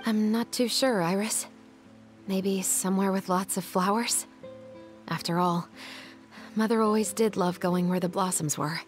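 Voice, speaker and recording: Woman playing a resolute young musketeer, mid-pitched. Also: steady tone, unhurried, soft background music, close to an everyday voice. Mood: gentle. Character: steadfast, resolute young female musketeer sister. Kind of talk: theatrical anime game acting.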